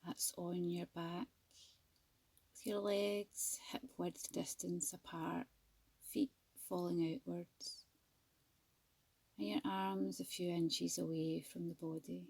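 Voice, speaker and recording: Slow, breathy woman guiding meditation. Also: fairly low pitch, little pitch movement, no echo, low-quality recording. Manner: soothing, gentle, measured with long pauses. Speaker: yoga nidra teacher. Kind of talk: guided meditation.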